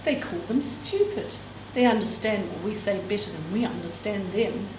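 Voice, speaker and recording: A woman voicing a kindly, curious man who coos at and gently mocks his cat in a novel reading. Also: mid-range voice, lively intonation, normal speed, close to an everyday voice. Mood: fond, teasing. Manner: cooing, gently mocking. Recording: echoey room, distant mic, background noise.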